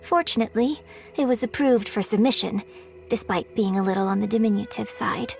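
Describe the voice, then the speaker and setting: An actress voicing a polite, composed young opera singer in a video game, speaking formally. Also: female voice, mid-range voice, fairly quick, vocal fry, soft background music, natural voice. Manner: formal, courteous.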